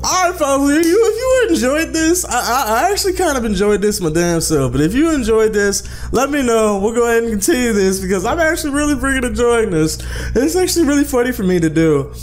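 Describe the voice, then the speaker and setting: A man's voice: very high-pitched, sing-song, brisk. A man hosting a gaming video, talking in casual slang with swearing and repeated phrases. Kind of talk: casual let's-play commentary and read-aloud.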